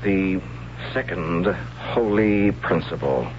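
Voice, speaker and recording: An actor playing a cheerful but hapless young man, the son of a high priest, who speaks in an easygoing, self-deprecating and hesitant way in a 1970s radio drama. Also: slow, slight background noise. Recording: dull old radio broadcast with music behind.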